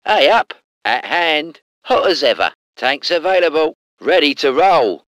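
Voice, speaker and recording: A man's voice: fairly high pitch, sing-song, measured pace, fairly bright tone, no echo. An actor with a British accent voicing a cheeky, salty tank crewman in a war game, in slangy, clipped phrases. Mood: eager, cheeky. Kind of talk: short scripted game unit responses.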